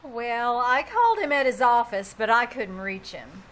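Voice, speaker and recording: A woman's voice: a little high, big pitch swings, normal speed, a bit bright, low-quality recording. A woman playing a patient, genteel, placating society wife in a 1940s-style radio comedy. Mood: explaining calmly. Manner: polite, placating. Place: live small theater stage.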